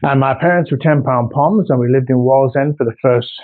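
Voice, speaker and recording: Older man in his 60s, North Yorkshire accent, rambling homely chat, middle pitch, thin phone-like sound. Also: a little fast. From Australia, raised in North Yorkshire. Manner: rambling, homely, with many ums and restarts. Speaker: artist. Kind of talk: casual video introduction. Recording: tinny phone-like recording.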